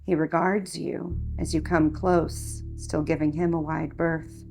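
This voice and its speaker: Woman, unhurried pace, gravelly fry, calm hushed meditation narration, music behind. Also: deep voice. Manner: calm, measured, hushed delivery. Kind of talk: slow guided meditation, scripted narration.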